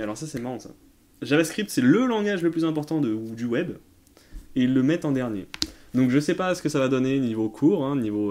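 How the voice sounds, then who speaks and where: A man hosting a tech Q&A in a casual, unscripted way, often hesitating as he thinks aloud and using informal slang. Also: mid-range voice. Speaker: tech tutorial host answering viewer questions. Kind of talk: casual off-the-cuff explanatory commentary.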